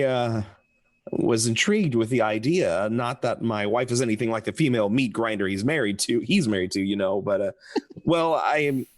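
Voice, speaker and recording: A man's voice: mid-range voice, no room sound, natural voice. A man playing a nervous, stammering husband with a guilty secret in a radio play. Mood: awkward, hesitant. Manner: hesitant, stammering, flustered. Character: nervous, henpecked-feeling husband with a guilty scheme.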